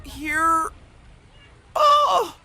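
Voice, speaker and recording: Storybook cartoon bean, female reader: nervous but eager, hesitant, very animated, slow delivery. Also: very high-pitched, slight background noise, voice pushed up, full character voice. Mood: panicked, nervous. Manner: theatrical, hesitant, exaggerated. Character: anxious, eager young cartoon bean working up courage. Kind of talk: animated children's read-aloud.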